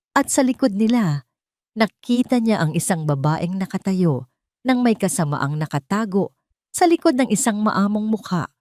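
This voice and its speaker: A woman reading a scripted dramatic story in a measured, expressive, melodramatic way. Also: on the low side, no room sound, studio quality. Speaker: storyteller, narrator.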